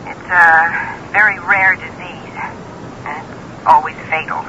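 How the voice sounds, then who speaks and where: Talent manager, woman, sharp forceful voice, insistent, deliberate, radio play, lo-fi vintage sound. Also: on the low side, steady tone. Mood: urgent, grave. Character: businesslike female talent manager bearing news. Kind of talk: old-time radio drama acting. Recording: thin, dull old radio recording, faint noise.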